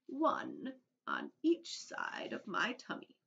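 A woman's voice: fairly high pitch, huge pitch swings, takes it slowly, vocal fry, a bit bright, pitched above the performer's own voice, full character voice. Lady doing a genteel old grasshopper gent from a storybook, proud and kindly, very swoopy, lo-fi sound. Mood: gently amused. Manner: genteel, theatrical, avuncular. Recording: dull lo-fi recording.

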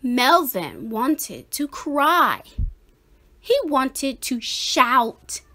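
Slow, high-voiced woman reading a storybook. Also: big pitch swings, pushed and bright. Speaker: storybook reader. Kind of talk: children's picture book read-aloud.

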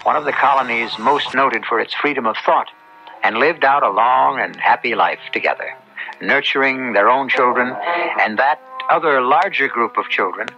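A man hosting and narrating a radio drama in a measured, literary, solemn scripted style. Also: mid-range voice, vocal fry, pushed and bright, a little hiss or hum. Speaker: host and narrator. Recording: dull, band-limited old radio recording. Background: music.

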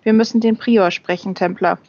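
A woman's voice: medium pitch, fairly even intonation, moderate pace, darkish tone, dry recording, natural voice. A woman reading the part of a small, resolute, formal female angel leader in a fantasy audiobook. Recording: dull lo-fi sound, music behind.

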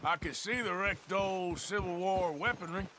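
An actor playing a seasoned, cautious, wry cowboy outlaw with a dry, folksy American drawl in a Western video game. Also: male voice, high voice, normal speed, background noise, natural voice. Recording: music under the dialogue, horse hooves. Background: loud music and horse hooves.

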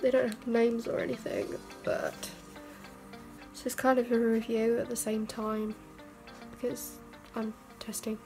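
A young woman, a beauty vlogger, chatting casually through a makeup test in her bedroom; she sounds croaky and stuffed up from a cold and rambles with self-mocking asides. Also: fairly high pitch, unhurried, warm tone, faint music behind. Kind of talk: casual makeup tutorial chat. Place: bedroom, indoors. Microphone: camera mic at arm's length.

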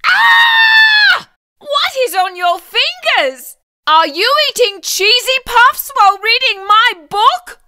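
A woman's voice: very high-pitched, pushed and bright, no echo, studio quality, exaggerated voice. A woman voicing a fussy, neat-freak young male frog who exclaims and frets dramatically in a storybook reading. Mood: scolding. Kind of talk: storybook read-aloud acting.

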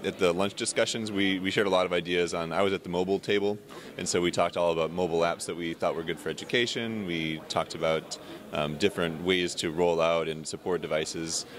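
A man in his thirties, a conference attendee, describing the group discussions in a relaxed way in a hotel lobby. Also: low-pitched, brisk. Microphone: handheld interviewer mic.

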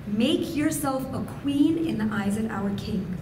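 High-voiced woman speaking at a podium. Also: unhurried. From Persian family. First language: Farsi. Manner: expressive, gesturing at a podium. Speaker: keynote speaker. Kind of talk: inspirational speech to women. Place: hotel function room, indoors. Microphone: podium microphone on a stand. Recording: echoey room with background noise.

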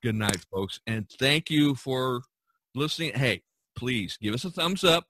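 A man's voice: medium pitch, animated, unhurried, fairly bright tone. Man podcast host, casual rambling chat.

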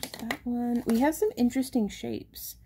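A chatty woman, showing handmade stones in a casual shop update, talking softly and excitedly over the camera. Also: a little high, moderate pace, a little hiss or hum. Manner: chatty and excitable, drops into soft whispers over pretty stones. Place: indoors at a craft table.

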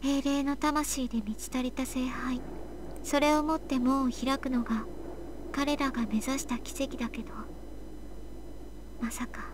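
Actress as small girl, very high voice, wistful, uncannily calm, visual novel acting. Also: a little fast, long pauses, background noise, natural voice. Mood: detached disbelief.

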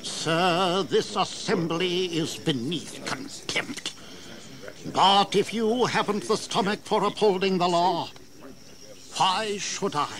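An actor playing a proud, defiant old colonial governor in a historical audio drama, with formal, declamatory delivery. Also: male voice, high-pitched, a bit bright, exaggerated voice. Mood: contemptuous. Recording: audible background noise and ambience.

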